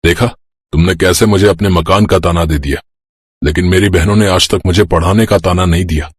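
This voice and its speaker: An earnest grown brother in a family cartoon, played by a man. His voice sits very low and is rough and husky. He speaks emphatically, in a dry, close sound. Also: fairly even intonation, fairly quick, no echo. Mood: earnest, defiant. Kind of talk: Hindi moral-story cartoon dubbing.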